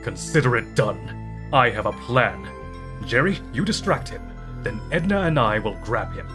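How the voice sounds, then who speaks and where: A man's voice: mid-range voice, very animated, moderate pace, over music, lightly acted. An actor playing an earnest, shaken undercover cop in an audio drama, sincere in his delivery. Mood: determined.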